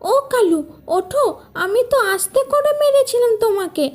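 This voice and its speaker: A woman voicing an excitable, scheming female crow with a melodramatic, pleading manner in a cartoon. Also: very high voice, moderate pace, voice pushed up. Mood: alarmed. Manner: melodramatic, wailing exclamations.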